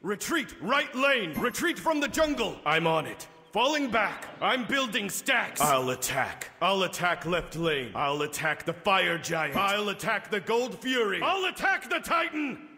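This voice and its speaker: An actor playing a vengeful winged god of death in a video game, speaking in grave, menacing, theatrical pronouncements. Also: male voice, sits high, sing-song, unhurried, pushed and bright, big-room sound, faint music behind, exaggerated voice. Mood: resolute. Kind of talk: video game character voice lines.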